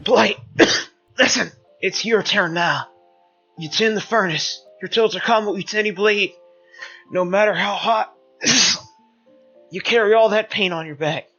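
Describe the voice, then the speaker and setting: A man's voice: sits high, measured pace, sharp tone, muffled recording, soft background music, voice pushed up, full character voice. A man voicing a brave, protective young blacksmith in a fantasy audio drama, sounding strained and wounded. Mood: urgent, dying.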